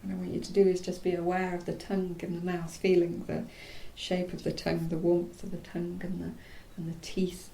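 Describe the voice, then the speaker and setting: A woman leads a guided body relaxation in a mellow voice with a gravelly creak. She speaks softly and soothingly, leaving pauses mid-phrase and letting endings go breathy. The room is echoey. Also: monotone. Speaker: yoga nidra teacher. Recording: roomy echo, faint low rumbling noise.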